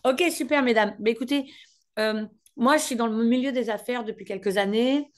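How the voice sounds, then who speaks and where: A woman's voice: a little high, normal speed. A woman in her forties from northern France, a guest speaker and business mentor, chatting in a friendly way and addressing her listeners directly. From northern France, Picardy. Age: 40s. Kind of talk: casual warm talk to an online group.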